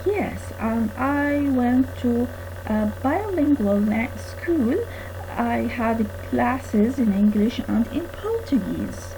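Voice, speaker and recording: A woman with an Arabic accent lecturing on English conversation, reading textbook lines and explaining them haltingly with many pauses. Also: a little high, background noise. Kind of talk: lesson narration, reading textbook dialogues aloud and explaining words.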